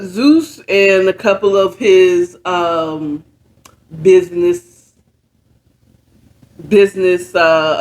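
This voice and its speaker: A woman informally retelling a story in a halting, conversational way, often checking in with her listeners. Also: on the low side. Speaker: storyteller retelling a book chapter.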